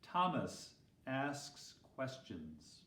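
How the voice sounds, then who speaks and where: A man, a pastor, reading a children's story expressively with deliberate phrasing. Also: mid-range voice, very animated, pushed and bright. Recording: roomy echo, distant microphone.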